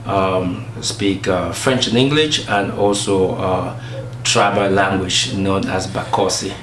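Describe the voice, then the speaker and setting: Cameroonian-accented pastor, low and roomy. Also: male voice, fairly even intonation, slow pace. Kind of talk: documentary interview. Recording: roomy with faint ambient noise.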